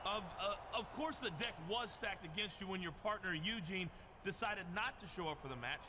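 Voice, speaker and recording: A dramatic backstage wrestling interviewer, played by a man in a video game. He pitches his voice high and needles with emphatic broadcast delivery, over clearly noisy ambience. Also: normal speed, close to an everyday voice. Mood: probing.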